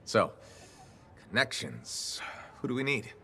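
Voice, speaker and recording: An actor playing an easygoing, dryly sarcastic mercenary hero in a video game. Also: male voice, medium pitch, very animated, takes it slowly, vocal fry, bright, forceful tone, slight background noise, full character voice. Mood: dry, wry. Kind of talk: video game dialogue acting.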